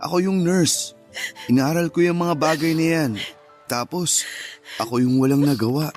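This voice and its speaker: A man playing a gentle, protective grieving husband in a Filipino radio drama, with a soothing, earnest manner. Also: a little high, moderate pace, soft background music, natural voice. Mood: consoling, self-blaming.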